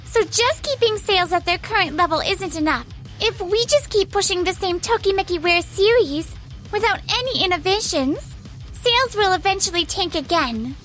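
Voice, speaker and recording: Very high-voiced, earnest young office woman played by an actress. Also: animated, normal speed, a bit bright, soft background music. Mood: insistent. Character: earnest, outspoken young female office worker, Yui. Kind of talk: anime-style manga dub acting.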